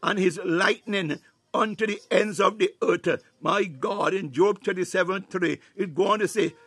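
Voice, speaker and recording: A man's voice: high-pitched, normal speed, a bit bright, breathy edge, no echo. A man preaching a fervent charismatic sermon and prayer, breaking his phrases with repeated exclamations.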